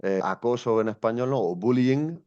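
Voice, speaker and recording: A man's voice: medium pitch, moderate pace, a little mellow, smooth, lo-fi sound. A young man from Spain, a guest telling his story in an interview, thoughtful and hesitant with many restarts. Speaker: guest, education coach.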